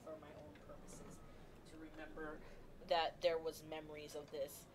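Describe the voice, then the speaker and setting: A young woman streaming a game, gushing and rambling like an excited fangirl. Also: low-pitched, moderate pace, slight background noise. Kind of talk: excited live game commentary. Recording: game music playing under the voice. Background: game music.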